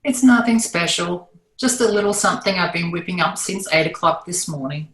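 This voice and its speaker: A woman's voice: fairly low pitch, a little fast, a bit bright. A woman playing a modest, fussing sitcom mom in a table read. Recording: echoey room, online call.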